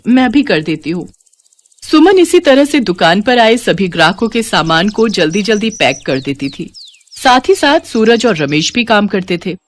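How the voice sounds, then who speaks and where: A woman reading a scripted devotional moral story as its narrator. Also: mid-range voice.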